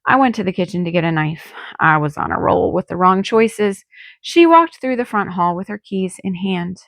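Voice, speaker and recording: A woman's voice: lowish voice, lively intonation, moderate pace, a bit bright, no echo, clean studio sound. A woman narrating a first-person novel as an audiobook, reading expressively and acting out the drama. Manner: dramatic, acts out dialogue. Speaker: author reading own novel.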